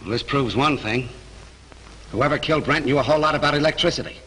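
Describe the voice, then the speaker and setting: A man playing a gruff, wisecracking police detective in a 1930s film. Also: medium pitch, fast talker, fairly bright tone, close to an everyday voice, low-quality recording. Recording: faintly hissy 1930s film soundtrack.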